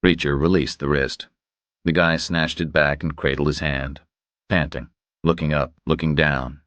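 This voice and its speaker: A man's voice: very deep voice, flat delivery, measured pace, fairly bright tone, no echo. A man reading a scripted thriller as an audiobook narrator.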